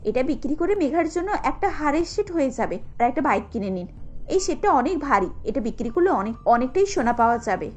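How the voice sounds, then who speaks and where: Woman as a selfless, high-voiced daughter-in-law. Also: sing-song, brisk, darkish tone, breathy edge, soft background music, heavily acted. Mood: gentle, earnest. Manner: respectful, reassuring. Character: selfless, dutiful young daughter-in-law, female. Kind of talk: cartoon story acting.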